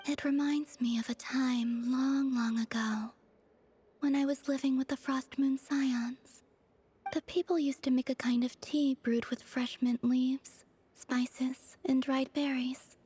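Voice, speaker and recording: A woman voicing a gentle, wistful young moon maiden in a video game, soft, breathy and hushed, with a hesitant, dreamy manner. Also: high voice, flat delivery, slow pace, a little hiss or hum. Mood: nostalgic.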